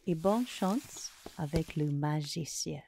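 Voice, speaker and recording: A woman playing a gentle, polite Tin Man who longs for a heart, murmuring hesitantly in a soft-spoken ASMR roleplay. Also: low voice, animated, takes it slowly, gravelly, slight background noise, heavily acted. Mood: kindly, polite.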